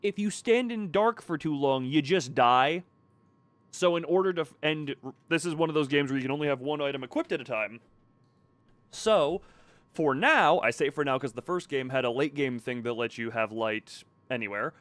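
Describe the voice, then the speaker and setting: A man's voice: fairly high pitch, moderate pace, fairly bright tone, dry recording. A man giving casual live gameplay commentary, rambling, self-deprecating and thinking aloud. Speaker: video game streamer. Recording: faint background noise and game ambience.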